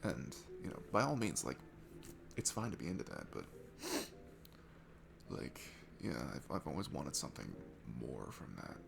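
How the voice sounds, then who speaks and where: A man's voice: very deep voice, very animated, normal speed, gravelly, background noise, heavily acted. A young man playing a shy, nervous, sweet guy at a bar in an ASMR roleplay, talking in a hesitant, rambling, self-deprecating way. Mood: earnest, open. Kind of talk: ASMR roleplay, one-sided intimate conversation.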